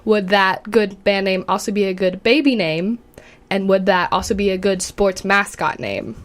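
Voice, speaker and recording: A woman's voice: mid-range voice, moderate pace. A young woman co-hosting a college radio show in a studio, chatting casually and laughing often between lines. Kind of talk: casual chat. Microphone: studio mic.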